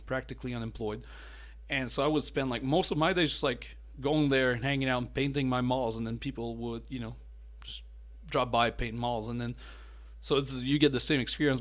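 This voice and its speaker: A man hosting a miniature painting channel, chatting casually with a mellow voice, full of fillers and restarts. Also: mid-range voice. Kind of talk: casual talking-head hobby tips.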